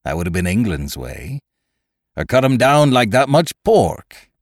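A man's voice: fairly low pitch, sing-song, a little slow, no room sound, clean studio sound, exaggerated voice. A man doing a cunning old pirate cook. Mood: scheming. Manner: gruff, cunning, persuasive. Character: scheming one-legged pirate cook, old sea dog. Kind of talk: audiobook character voice.

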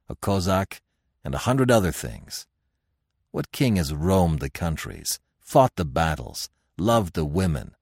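A man playing a fierce, proud barbarian warrior chief in an audiobook adventure, gruff, growling and sardonic. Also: extremely low pitch, a little mellow, dry recording, studio quality, heavily acted.

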